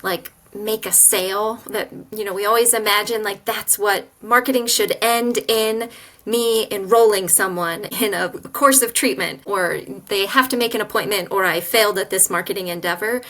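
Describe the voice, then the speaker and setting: A woman's voice: mid-range voice, fairly bright tone. A woman hosting a podcast interview, thinking aloud in a reflective, casual way with frequent "like" and "you know". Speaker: podcast host.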